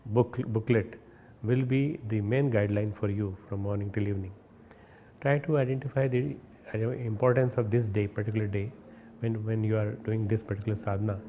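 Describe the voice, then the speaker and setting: A man with an Indian accent giving a recorded spiritual message, earnest and instructive, often pausing and restarting words. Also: lowish voice, warm tone, a little hiss or hum. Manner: hesitant, repeats and restarts words. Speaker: spiritual leader.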